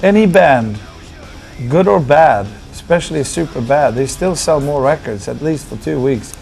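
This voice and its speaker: A man's voice: fairly high pitch, lively intonation, moderate pace, vocal fry, a little mellow, faint music behind. A man in a band, telling stories casually and laughing. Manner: laughing, storytelling. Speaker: band member. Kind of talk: casual interview chat.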